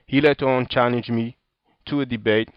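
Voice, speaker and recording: A man with a French accent delivers a sarcastic, combative commentary full of street slang, reading passages aloud and jabbing at critics. His voice sits at an ordinary middle pitch. The recording is dry, close and a little dull. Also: fairly even intonation, moderate pace, smooth, dry recording. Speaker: video commentator. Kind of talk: casual commentary reading from a book and website.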